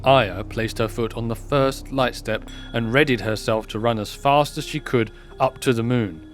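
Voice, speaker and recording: Guy narrating an audio drama story, medium pitch, bit of background noise. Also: normal speed.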